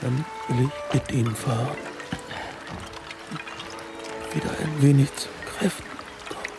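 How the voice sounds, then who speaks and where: A man acting an exhausted, tormented, driven castaway with weak, halting speech in a radio play. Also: medium pitch, fairly even intonation, music in the background, lightly acted. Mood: weak, polite. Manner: halting, broken phrases. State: weak, weary. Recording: clear noise, ambience behind.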